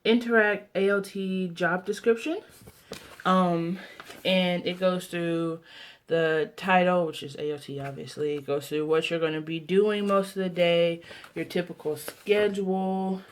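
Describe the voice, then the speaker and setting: A woman's voice: on the low side, fairly even intonation, unhurried, slight background noise. A woman in her twenties with an American accent, chatting casually as a video blogger, rambling and often breaking off mid-thought to restart. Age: 20s. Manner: rambling, self-interrupting, trailing off. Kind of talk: casual vlog chat.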